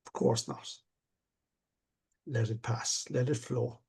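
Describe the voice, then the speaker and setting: An older man speaks with a gravelly, husky voice. His tone is dark and mellow. He talks about things in an earnest, reflective, measured way, the way a coach does. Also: mid-range voice. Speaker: stuttering coach. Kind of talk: casual conversation.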